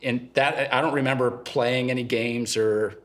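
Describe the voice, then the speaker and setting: A man's voice: medium pitch, steady tone, normal speed, a bit bright. A middle-aged man from eastern Ohio with a slight Southern-tinged local accent, speaking plainly and earnestly as a guest in a casual interview. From eastern Ohio, USA. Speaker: guest, father of three.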